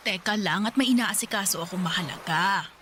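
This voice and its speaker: An actress playing a busy, bossy senior widow in a radio drama. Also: female voice, medium pitch, a little fast, a bit bright, lightly acted. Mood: preoccupied, placating. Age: older. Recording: noisy ambience behind voice.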